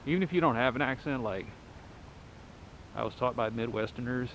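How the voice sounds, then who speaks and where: A man's voice: mid-range voice, brisk, a bit bright, poor audio. Wry Texas man on a night walk. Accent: Texas, lightly softened. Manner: blunt, wry, talks while walking. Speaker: walking vlogger. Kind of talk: rambling night-walk monologue. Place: residential street at night, outdoors. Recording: street noise and passing cars.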